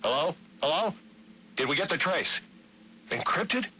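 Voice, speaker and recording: An actor playing an upright, no-nonsense police detective in a video game, with a gravelly voice. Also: male voice, on the high side, sing-song, a little slow, sharp tone, a little hiss or hum, exaggerated voice. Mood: stern, urgent. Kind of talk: video game voice acting, audio log.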